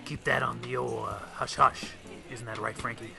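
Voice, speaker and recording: An actor playing an easygoing, informal police detective in an old time radio drama. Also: male voice, mid-range voice, flat delivery, moderate pace, gravelly, bright, forceful tone, soft background music, exaggerated voice. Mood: friendly. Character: folksy police detective, army veteran friend.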